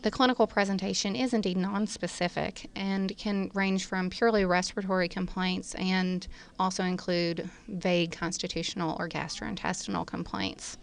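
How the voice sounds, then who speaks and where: Female physician lecturer, lowish and smooth, explaining technical material formally. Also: fairly even intonation, normal speed, few pauses. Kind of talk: medical lecture commentary.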